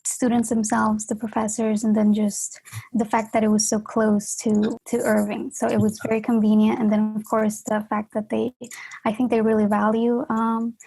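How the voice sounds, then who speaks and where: A young woman in her twenties from Honduras, a graduate student answering interview questions in an earnest, measured way. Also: fairly high pitch, monotone, vocal fry, mellow, slightly rough, poor audio. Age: 20s.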